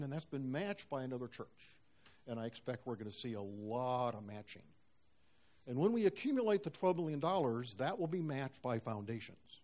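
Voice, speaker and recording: A man presenting a community project to a congregation in measured, hopeful prepared remarks. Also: medium pitch, mellow. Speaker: church member presenting a project.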